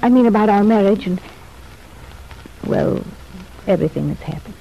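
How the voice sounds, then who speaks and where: An actress playing a contrite, earnest young ex-wife in an old-time radio soap opera, speaking in a confiding, measured way. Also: female voice, mid-range voice, vocal fry, highs cut off, natural voice. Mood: earnest, apologetic. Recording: noisy, band-limited vintage radio recording.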